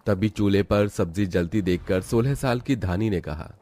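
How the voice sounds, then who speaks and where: A man's voice: fairly low pitch, normal speed, mellow, no room sound. A man as narrator, reading a scripted cartoon story.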